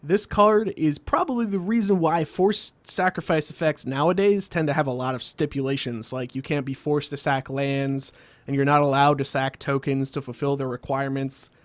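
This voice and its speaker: A man with a somewhat high voice narrates a scripted comedic explainer as its host. His delivery is wry and sardonic, and the sound is close and dry, with no room on it. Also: normal speed, dry recording. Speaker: host of a card game video series.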